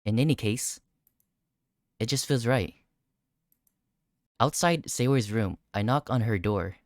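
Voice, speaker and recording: A game streamer with a mid-pitched voice reads a visual novel aloud. The narration and dialogue give way to startled personal reactions. The recording is very dry, with almost no room sound. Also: slow pace, no room sound. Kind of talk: gaming playthrough, reading visual novel text aloud.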